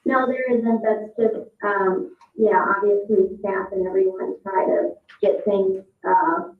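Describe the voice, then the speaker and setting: A woman advising a meeting on procedure in an informal, measured and clarifying way. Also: medium pitch, fairly even intonation. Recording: tinny phone-like sound, echoey, distant.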